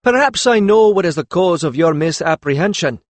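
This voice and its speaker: A man's voice: high voice, animated, normal speed, dry recording, voice pushed up. Casting: man as a mischievous foreign healer, thickly accented, feigning wide-eyed innocence and deference in a fantasy audiobook. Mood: feigned innocence. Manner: mock-deferential, ingenuous. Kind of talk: audiobook character voice.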